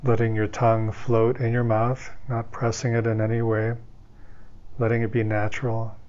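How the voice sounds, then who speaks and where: A man leading a guided meditation as its teacher, speaking gently and soothingly, in an unhurried way with long pauses. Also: lowish voice, completely flat delivery, lo-fi sound.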